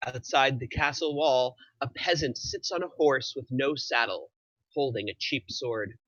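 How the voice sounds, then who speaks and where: A man's voice: mid-range voice, measured pace, a bit bright, dry recording, highs cut off. A man narrating the stage directions at a film script table read in a plain scripted delivery.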